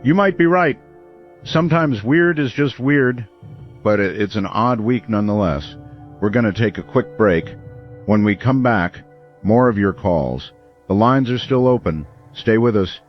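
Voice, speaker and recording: A man playing a calm, measured late-night radio call-in host. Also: fairly low pitch, lo-fi sound, faint music behind.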